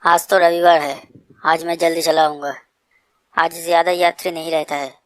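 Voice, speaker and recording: A woman's voice: fairly low pitch, level tone, normal speed, no echo, natural voice. A woman voicing a mild village boatman and family man in a story, talking in a plain, conversational way. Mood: agreeable. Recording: noisy track with water ambience.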